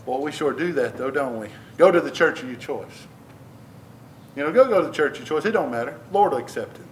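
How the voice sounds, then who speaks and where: A man's voice: fairly high pitch, lively intonation, a little fast, slight background noise. A man preaching a conversational sermon into a microphone, restarting phrases and pressing questions at his listeners. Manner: conversational, repeats and restarts, rising emphasis on questions. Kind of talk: sermon, Bible teaching. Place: church building.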